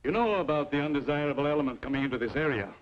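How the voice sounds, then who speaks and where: An actor playing a powerful, scheming frontier banker in an old-time western radio drama, formal and self-important. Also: male voice, on the high side, animated, very fast, lightly acted. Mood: smooth, patronizing. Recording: muffled, noisy old radio recording.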